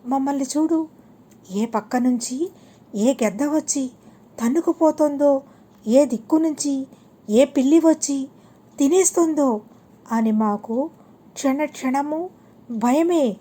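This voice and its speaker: Small, humble storybook sparrows, voiced by a woman, speaking as one with an anxious temper. The voice is mellow and fairly high, with very slow, spaced-out speech. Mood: earnest, plaintive. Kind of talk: children's storybook reading.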